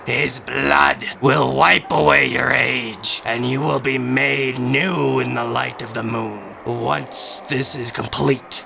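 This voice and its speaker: An imperious demon of judgment in a dark fantasy audio drama. The voice is intensely gravelly and drags each phrase out slowly, menacing and seductive, with music playing behind it. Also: male voice, medium pitch. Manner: slow, imperious, ominous delivery.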